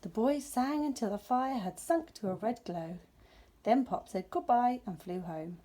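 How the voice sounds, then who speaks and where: A woman narrates a children's storybook in a gentle, expressive manner. Her voice is fairly high and mellow, slightly breathy, with animated intonation. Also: unhurried. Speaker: storybook reader.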